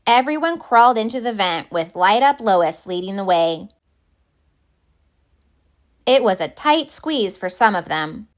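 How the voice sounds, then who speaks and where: A woman reading a children's picture book aloud in deliberate, expressive narration. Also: mid-range voice. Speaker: storybook reader.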